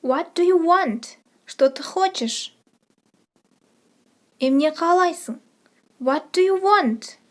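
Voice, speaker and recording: A woman teacher presenting a scripted multilingual language lesson, repeating phrases slowly and didactically for learners. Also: very high-pitched, lively intonation. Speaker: language teacher, channel host.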